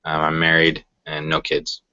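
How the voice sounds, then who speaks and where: Very deep, forceful man on a call. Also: monotone, no room sound. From Idaho, USA. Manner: plain, halting self-introduction. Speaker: student presenter. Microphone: video call. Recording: lo-fi online call audio.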